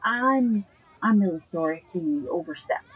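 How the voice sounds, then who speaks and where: A woman acting a curious, apologetic and gently teasing girlfriend in an audio drama, with a husky, breathy voice in a dull recording. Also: medium pitch, animated, normal speed.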